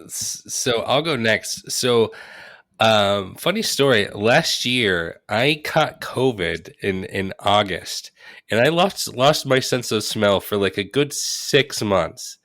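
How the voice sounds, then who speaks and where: Low-voiced man, halting podcast co-host. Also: expressive, fairly bright tone, dry recording. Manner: hesitant, halting delivery. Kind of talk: casual podcast chat.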